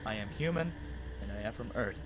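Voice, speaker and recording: A man's voice: fairly low pitch, expressive, fairly quick, heavy background noise. A man reading a scripted story aloud as a dramatic narrator. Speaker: narrator and author of a fan fiction reading.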